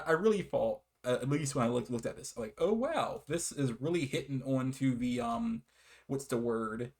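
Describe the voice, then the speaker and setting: An American man giving a casual, rambling video game review, often correcting himself and apologizing as he shares his enthusiasm. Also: mid-range voice. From United States.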